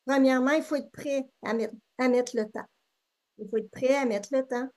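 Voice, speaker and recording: High-pitched Québécois woman chatting. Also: steady tone, moderate pace, darkish tone. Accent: Québécois French. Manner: chatty, many fillers and restarts. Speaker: interview guest. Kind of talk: casual interview conversation.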